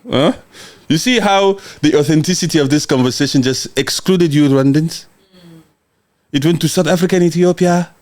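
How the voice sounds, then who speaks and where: A man hosting a casual livestream, reading out viewer comments and answering them in a brash, mocking, joking way, often breaking into laughter. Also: fairly high pitch. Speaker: livestream host.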